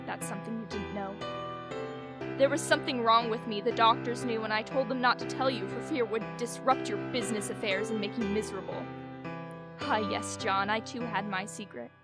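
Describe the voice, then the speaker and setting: A woman's voice: fairly high pitch, fairly even intonation, over loud music, lightly acted. A young woman playing a knowing, scornful dead wife speaking through her letter in a theatrical radio play. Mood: triumphant, scornful. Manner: sarcastic, deliberate. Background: musical instrument playing underneath.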